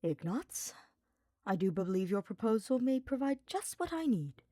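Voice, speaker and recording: A woman's voice: a little high, very animated, normal speed, warm tone, dry recording, pitched above the performer's own voice. A woman reader puts on a brooding male mage in a fantasy story. He is sardonic and formal, with biting, theatrical phrasing. The sound is dry and close. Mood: measured.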